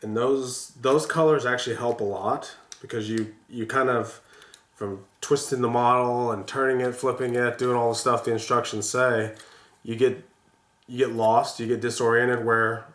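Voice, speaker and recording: A man's voice: medium pitch, fairly even intonation, unhurried, a bit bright, echoey room. A man with a stuffy, congested voice from a sinus infection, chatting casually and rambling with many restarts as he builds a toy set. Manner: rambling, frequent restarts and fillers. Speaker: vlogger building toy sets. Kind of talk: casual chat while building.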